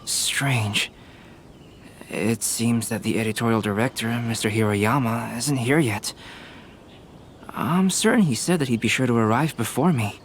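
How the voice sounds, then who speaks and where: A man playing a calm young painter. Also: mid-range voice, a little hiss or hum, lightly acted. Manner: composed, measured. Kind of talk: video game voice acting. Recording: game audio with faint score.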